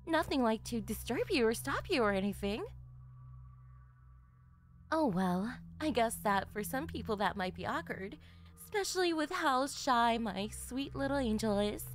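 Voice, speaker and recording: A woman's voice: fairly high pitch, very animated, normal speed. A woman voicing a doting, obsessive yandere mother, chatty and sugary, in an ASMR roleplay. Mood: gushing, cheerful.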